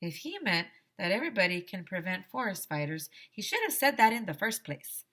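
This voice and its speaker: A woman reads to children as their teacher, with a bright, forward voice. Her delivery is expressive and emphatic, moving widely up and down in pitch. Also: moderate pace. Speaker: teacher reading aloud to children. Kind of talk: animated children's story read-aloud.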